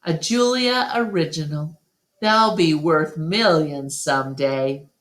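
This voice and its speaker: A woman's voice: low voice, slow pace. A woman voicing an eager, friendly young girl with a casual, childlike delivery in a children's storybook. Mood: breezy. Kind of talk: children's storybook read-aloud.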